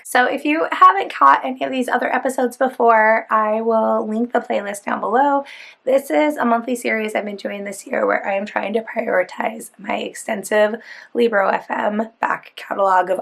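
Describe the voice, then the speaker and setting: A woman hosts her own reading vlog in an easygoing, rambling chat. Her voice sits a little high and carries a noticeable gravelly fry. She keeps an upbeat, conversational flow full of fillers. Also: a bit bright. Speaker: book vlogger.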